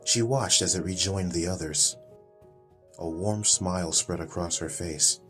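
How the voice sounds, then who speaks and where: A man's voice: sits low, fairly even intonation, measured pace, a bit bright. Male audiobook narrator over music. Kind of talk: scripted dramatic story narration.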